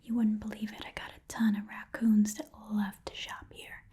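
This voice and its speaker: A woman voicing a shifty, chatty goblin pawnshop keeper in an ASMR roleplay, whispering breathily in a rambling, hesitant way and repeating phrases softly. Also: medium pitch, completely flat delivery, moderate pace, husky. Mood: musing, haggling. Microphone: close ASMR microphone.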